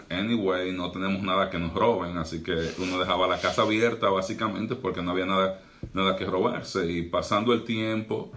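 A man's voice: deep voice, fairly bright tone. A young man from the Republic, a doctor and pastor telling his life story earnestly in a podcast interview. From Dominican Republic. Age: young adult. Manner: earnest, reflective, uses fillers like eh.

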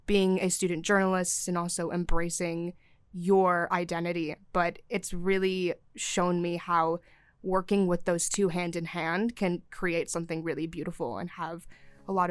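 Earnest young woman, smooth clear voice. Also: on the low side, dry recording. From Syria. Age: young adult. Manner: earnest, fluent, with frequent "you know" fillers. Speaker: guest, news desk assistant and DEI chair. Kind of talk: podcast interview answers.

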